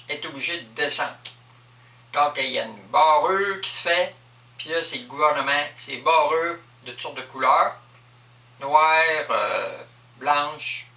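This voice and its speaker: A man's voice: fairly high pitch, gravelly, sharp tone. A man with a Quebec French accent giving an improvised explanatory monologue in an emphatic, repetitive manner. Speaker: vlogger explaining personal spiritual beliefs. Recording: echoey room, distant microphone.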